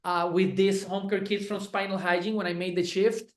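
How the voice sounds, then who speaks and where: A man's voice: high-pitched, level tone, moderate pace, roomy sound. A man with a Puerto Rican Spanish accent, a chiropractor and clinic owner, giving an effusive testimonial and often restarting his words. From Puerto Rico. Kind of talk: enthusiastic testimonial chat.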